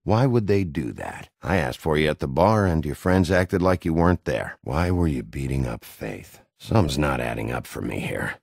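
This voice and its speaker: An actor playing a gruff, hot-tempered werewolf detective in a video game, a middle-aged man with a gravelly, husky, blunt, intimidating delivery. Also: extremely low pitch, dry recording, clean studio sound, full character voice. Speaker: interrogating lawman.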